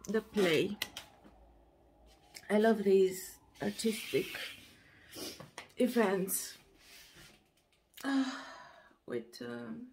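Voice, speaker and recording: A woman in her thirties with a Romanian accent, vlogging casually at home into a phone held at arm's length, talking in a slow, relaxed and sleepy way. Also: fairly high pitch, creaky, slight background noise. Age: 30s. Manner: slow, relaxed and sleepy, with frequent laughs and restarts. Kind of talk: casual selfie vlog. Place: bedroom and bathroom at home, indoors.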